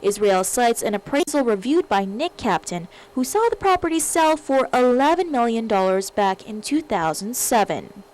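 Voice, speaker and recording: Woman, higher-pitched voice, news reporter, scripted report narration. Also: sing-song, moderate pace, few pauses, darkish tone, poor audio.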